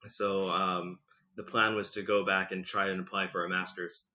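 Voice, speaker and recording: A man in his thirties talks as a podcast guest in a loose, chatty way, full of "like" and "you know". His voice sits a little low but rings bright and forceful. The recording sounds dull and lo-fi. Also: flat delivery, normal speed. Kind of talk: casual conversational storytelling.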